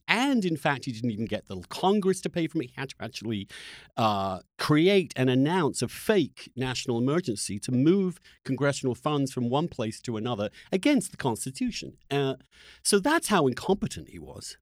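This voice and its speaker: A man's voice: medium pitch, big pitch swings, normal speed, no echo, clean studio sound. A man hosting a political podcast, challenging his guest in an emphatic, incredulous way. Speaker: podcast host. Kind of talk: combative political debate.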